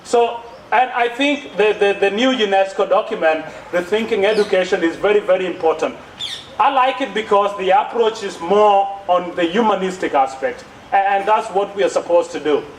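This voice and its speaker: A man's voice: sits high, moderate pace. A young man with an East African accent making an emphatic public speech, repeating phrases and posing rhetorical questions. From born in South Sudan, grew up in Kenya. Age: young adult. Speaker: youth speaker at a conference plenary. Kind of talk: public speech, impassioned advocacy. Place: outdoor stage under trees at a podium. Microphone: thin gooseneck podium microphone. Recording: echoey, distant podium mic with background noise.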